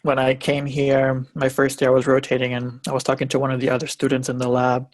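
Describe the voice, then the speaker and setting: A man's voice: medium pitch, monotone, fast. A man from Puerto Rico, a graduate student, recounting an experience in a workshop discussion with easygoing humor. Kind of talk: casual group discussion.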